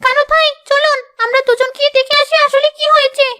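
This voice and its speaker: A woman voicing a sharp-tongued, nagging young village wife in a cartoon. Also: very high voice, level tone, fairly quick, no room sound, clean studio sound. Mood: curious, alarmed. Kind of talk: cartoon voice acting.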